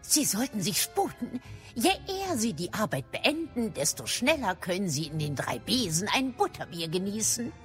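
An actress playing a shrewd middle-aged female professor in a German audio drama, speaking formally and crisply with a mildly probing manner. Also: medium pitch, sing-song, moderate pace, soft background music, close to an everyday voice. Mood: brisk, instructive.

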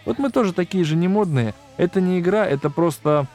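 A man's voice: high-pitched, lively intonation, a little fast, a little mellow, faint music behind. A man from a band, a podcast guest, making his points in an emphatic, opinionated way. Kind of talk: casual podcast interview.

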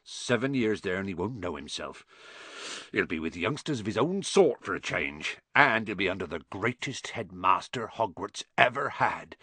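A husky-voiced man voicing a huge, gruff but kindly bearded giant with rough folksy speech. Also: expressive, moderate pace, sharp tone, no room sound, heavily acted. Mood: scornful. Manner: rough folksy speech, booming when angry.

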